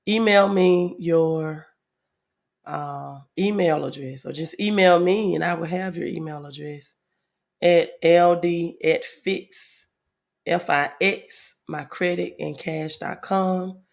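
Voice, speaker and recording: A woman hosting a casual livestream, a little hoarse from a head cold, reading viewer comments aloud with many fillers. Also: lowish voice. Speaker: livestream host.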